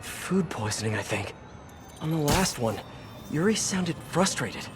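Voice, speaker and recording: An actor playing an earnest young superhero detective in a video game, musing aloud with curiosity and worry over music. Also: male voice, a little high, big pitch swings, a little slow. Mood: thoughtful. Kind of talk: video game voice acting, investigative monologue.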